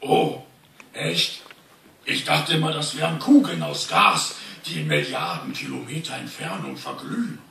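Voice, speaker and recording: A man's voice: fairly high pitch, big pitch swings, measured pace, creaky, crowd noise, full character voice. A man playing a naive, good-natured warthog in a live radio play, simple and curious. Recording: echoey hall, distant handheld phone, noisy.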